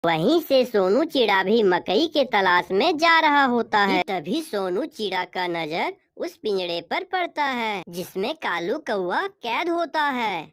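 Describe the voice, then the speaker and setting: A woman's voice: mid-range voice, sing-song, a little slow, few pauses, fairly bright tone. A woman narrating a scripted children's cartoon story as a storyteller.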